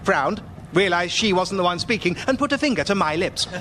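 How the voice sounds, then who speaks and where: An actor playing a pompous, whimsical gentleman storyteller with ornate, measured delivery in a radio comedy. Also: high voice, animated, background noise. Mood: grandly whimsical. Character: pompous, whimsical old-fashioned gentleman raconteur telling tall tales.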